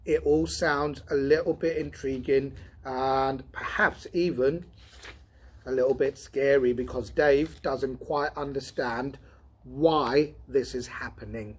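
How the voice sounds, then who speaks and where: A man's voice: medium pitch, steady tone, slow pace, slightly rough. A man hosting a storytime, introducing and narrating a science fiction story aloud. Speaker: storytime host and reader. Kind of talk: storybook reading with spoken introduction.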